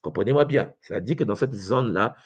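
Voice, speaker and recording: A man of Congolese origin gives a spiritual lecture in a low voice. He often pauses to hesitate and asks his listeners whether they follow. The sound is dry and close. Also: lively intonation, breathy edge, no echo, dull audio. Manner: frequent hesitations and rhetorical check-ins. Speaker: spiritual teacher.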